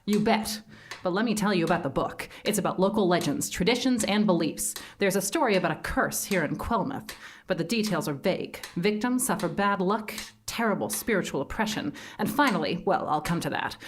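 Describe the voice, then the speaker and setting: A woman's voice: lowish voice, animated, brisk, a little hiss or hum, natural voice. A woman voicing a nervous, earnest academic in a live-staged radio drama. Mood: anxious. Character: anxious Canadian academic, Miss Prestoon.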